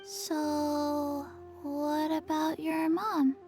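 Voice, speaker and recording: A woman voicing a small, deadpan child girl in a game, with a flat, detached manner. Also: high-pitched, drawn-out words, over music.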